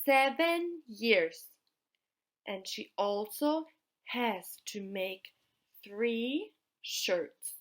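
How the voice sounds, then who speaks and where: A woman telling a fairytale in a halting, deliberate way, pausing between short groups of words. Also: sits high, fairly bright tone. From Austria. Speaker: storyteller. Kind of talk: slow fairytale storytelling.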